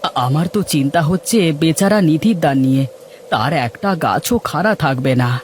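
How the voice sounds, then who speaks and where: A man's voice: a little high, animated, brisk, slight background noise, lightly acted. A man voicing village men in a Bengali cartoon moral tale, with theatrical, emphatic delivery. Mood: worried, exasperated. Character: village men of a moral tale, from scheming fruit seller to distraught farmer. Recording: music behind the voice.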